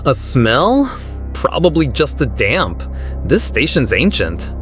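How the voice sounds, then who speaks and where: A man's voice: mid-range voice, sing-song, takes it slowly, a bit bright. A man playing a dismissive, secretly sinister character in a radio horror drama. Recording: eerie music underneath.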